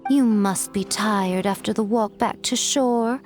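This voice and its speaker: A woman's voice: medium pitch, unhurried, a little mellow, soft background music, natural voice. A woman voicing a sly, elegant fox-spirit priestess in an anime game, languid, teasing and mock-polite. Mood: taunting.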